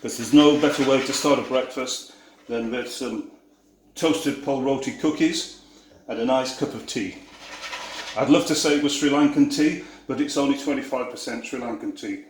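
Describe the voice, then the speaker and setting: A man with a Yorkshire accent giving a relaxed cooking demonstration in his home kitchen, easygoing and self-deprecating as he works. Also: medium pitch, moderate pace, slight background noise. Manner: easygoing, self-deprecating, talks while working. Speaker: cooking video host. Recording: echoey kitchen with clattering dishes.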